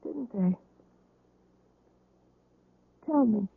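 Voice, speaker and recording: An actress playing a weary, despairing wife recovering from surgery, mournful and yearning, in a radio drama. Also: female voice, mid-range voice, animated, moderate pace, creaky, slightly rough, phone-quality sound, heavily acted. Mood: anguished, pleading. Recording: roomy, band-limited old radio recording.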